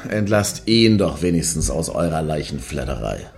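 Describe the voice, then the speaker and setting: A man's voice: extremely low pitch, expressive, normal speed, gravelly, full character voice. An actor playing a flippant, sneering man with a jovial, sleazy swagger in a German radio play. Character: flippant, mocking male acquaintance with sleazy swagger.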